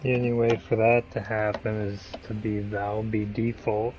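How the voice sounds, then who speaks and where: A man playing along on a voice call, answering briefly and low-key. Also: level tone, normal speed, highs cut off, soft background music. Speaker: co-op gaming friend. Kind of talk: casual chat over voice call.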